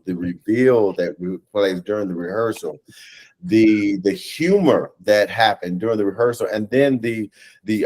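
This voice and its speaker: An American man in his thirties or forties talking conversationally as an interview guest, animated and often rephrasing as he goes. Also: lowish voice. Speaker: theatre director and writer, interview guest. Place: indoors, on a video call.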